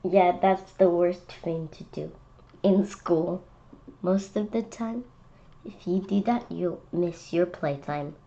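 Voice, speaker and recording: A young, school-age female reader presenting a picture book read-aloud with chatty, expressive commentary. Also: lowish voice, slow, creaky, muffled recording. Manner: expressive, calls out quoted lines loudly.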